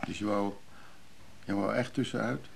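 An actor playing a glib, teasing antique dealer in a naturalistic radio drama. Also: male voice, fairly low pitch, fairly even intonation, normal speed, gravelly. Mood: concerned, wry. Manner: sardonic, teasing, casual. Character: glib, needling antique dealer, sardonic brother-in-law. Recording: noisy old radio drama recording.

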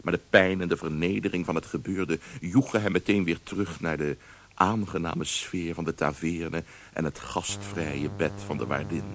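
A very low, gravelly man's voice tells the story. It is scripted narration for a radio drama. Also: a bit bright.

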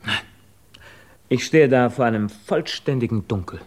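A man playing a guarded, evasive émigré physicist under suspicion in a 1950s German radio drama, speaking formally and hesitantly, quietly troubled. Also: mid-range voice, normal speed, close to an everyday voice. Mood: evasive, defensive.

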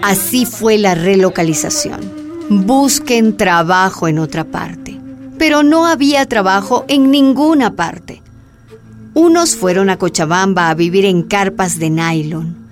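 A woman narrating a scripted radio docudrama in a measured, declarative manner. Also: mid-range voice, soft background music.

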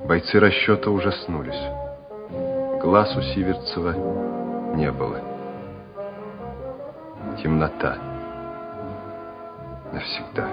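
A dull, vintage radio recording with music behind a low male voice. The man narrates a war drama in a scripted, theatrical style. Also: animated, slow pace, pauses often.

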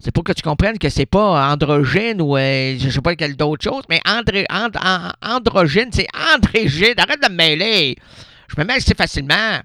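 Man as an exasperated Quebec husband, lively melodic delivery. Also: sits high, normal speed, no echo, exaggerated voice. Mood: exasperated, flustered. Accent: Quebec French. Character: exasperated, doting, wordy husband woken in bed. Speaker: radio show host. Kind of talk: absurd radio comedy sketch.

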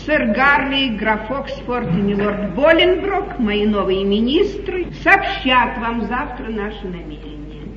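A woman's voice: fairly high pitch, sing-song, unhurried, few pauses, a bit bright. An actress playing a lovelorn, anxious yet commanding queen in a theatrical 1950s stage comedy. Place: theatre stage, recorded live. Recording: noisy, muffled 1950s theatre hall broadcast, distant.

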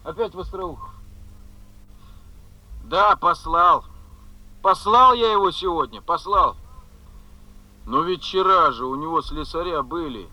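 An actor playing a put-upon housing official in a radio play, grumbling with indignant, sarcastic exasperation. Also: male voice, fairly high pitch, expressive, slow pace, long pauses, pushed and bright, no room sound, exaggerated voice, poor audio. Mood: exasperated. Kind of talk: Soviet radio play acting.

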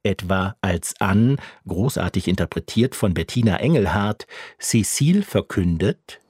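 A man's voice: sits low, animated, measured pace, a little mellow, husky, dry recording, studio quality. A man working as a radio critic, reading a scripted review.

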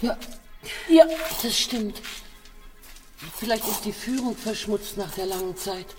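A woman's voice: medium pitch, unhurried, lightly acted. A woman playing a refined, composed yet eager widowed Madame on an Egyptian expedition in a German radio play, with a courteous, theatrical delivery. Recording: radio drama with faint music.

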